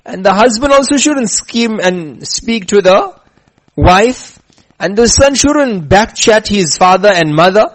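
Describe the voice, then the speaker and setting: A man giving a religious lecture who tells a story and slows down to stress his points. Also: high voice, lively intonation, poor audio. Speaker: preacher. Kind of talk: religious lecture with chanted scripture.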